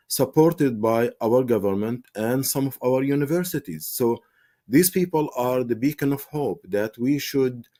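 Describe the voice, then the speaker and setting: A man's voice: mid-range voice, mellow. A man from Palestine, an advocacy spokesman answering in a TV interview, earnest and impassioned with frequent fillers and restarts.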